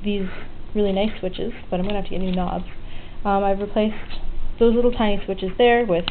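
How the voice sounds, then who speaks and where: Thin, phone-like audio with faint noise carries a woman's voice at an ordinary pitch. She talks informally and frankly, explaining as she goes through an unscripted hands-on tutorial. It sounds like a homemade hobby recording. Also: steady tone, normal speed. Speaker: DIY electronics hobbyist presenting a tutorial. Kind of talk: casual unscripted hands-on demonstration.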